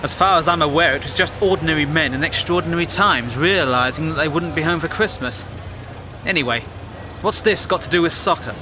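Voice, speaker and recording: An actor playing a cynical, outspoken 1910s war reporter with an English accent, wry and indignant, in an audio drama. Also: male voice, a little high, brisk, lightly acted. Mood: sceptical. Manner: wry, indignant, plain-spoken. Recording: noisy moving-car ambience behind.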